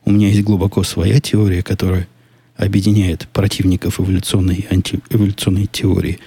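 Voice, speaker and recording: A man with a deep voice and a dark, husky tone hosts a solo podcast and answers listener questions in a loose, musing way. He sounds skeptical and thinks out loud, breaking off and restarting his sentences. The sound is dry and close, with a gravelly texture. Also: little pitch movement, fairly quick, no echo. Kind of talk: casual solo podcast monologue.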